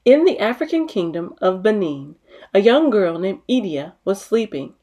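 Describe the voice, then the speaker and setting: A woman's voice: medium pitch, very animated, moderate pace, warm tone. A woman narrating a children's picture book as a storytime host, reading expressively.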